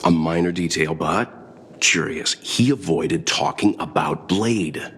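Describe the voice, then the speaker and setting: An actor playing a reserved, analytical traveller in a video game cutscene, speaking in a deliberate, thoughtful, matter-of-fact way. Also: male voice, deep voice, a bit bright, a little hiss or hum. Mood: pensive, analytical. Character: reserved, analytical male traveller, polite and wary.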